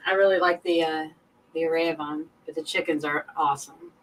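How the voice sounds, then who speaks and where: A woman's voice: low-pitched, fairly even intonation, a little fast. A woman chatting casually as a guest on a livestream, heard through distant-sounding call audio. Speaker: guest in the live chat. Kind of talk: casual chat.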